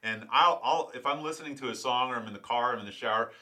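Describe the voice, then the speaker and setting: A middle-aged man from Florida with a deep voice, hosting a casual interview and rambling through his questions with many restarts. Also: quick pace, pushed and bright. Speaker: podcast host.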